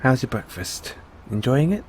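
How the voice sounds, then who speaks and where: A man playing a gentle, caring android owner in an intimate one-sided audio roleplay, coaxing and inquisitive, with long pauses. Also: mid-range voice, big pitch swings, a little hiss or hum, lightly acted. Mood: tender, cheerful.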